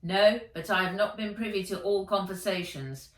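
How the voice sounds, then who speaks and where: A woman's voice: medium pitch, fairly even intonation, normal speed, close to an everyday voice. A woman voicing a smarmy, formal android horse butler in a children's storybook reading. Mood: stiffly formal. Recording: roomy, distant from the mic.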